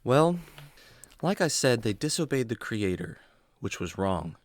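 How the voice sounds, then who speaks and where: A kindly adventurer played by a man in a kids' radio drama, teaching with patience and earnest care. His mid-pitched voice rises and falls expressively, recorded dry and close. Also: sing-song, unhurried, no room sound. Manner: gentle, earnest, explanatory. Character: kindly adventurer man, patient storytelling mentor to animal buddy. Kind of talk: children's radio drama acting.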